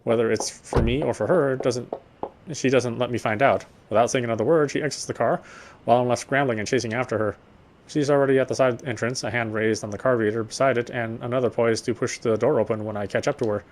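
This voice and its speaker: Brief: man voicing a dry, reflective young cop narrating inner thoughts, quick delivery, mid pitch. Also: fairly even intonation, a little mellow, lightly acted. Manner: measured, wry, reflective narration. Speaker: police officer. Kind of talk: first-person game narration.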